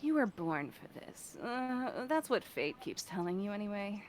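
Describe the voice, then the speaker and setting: A woman voicing a taunting female conscience in a mirror, cool, mocking and deliberate. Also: medium pitch, very animated, slight background noise.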